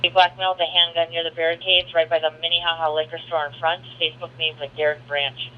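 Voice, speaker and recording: Fast, high-pitched male radio dispatcher. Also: level tone. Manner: clipped, procedural. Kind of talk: terse radio dispatch, repeating reports back. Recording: noisy, muffled police radio channel.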